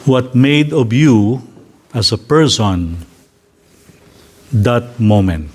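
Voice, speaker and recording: A man with a Filipino English accent, a priest leading a slow, gentle guided reflection for a gathering. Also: mid-range voice. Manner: slow, deliberate, gently inviting.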